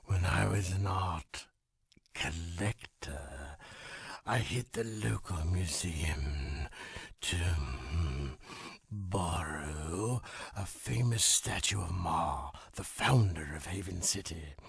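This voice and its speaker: An actor playing a sly, greedy underworld crime boss in a video game, with a thick gravelly rasp and a drawling, grumbling delivery. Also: male voice, low voice, dry recording, heavily acted. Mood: wistful, scheming. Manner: slow drawl, punctuated with questioning "eh?" tags. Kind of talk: video game cutscene acting.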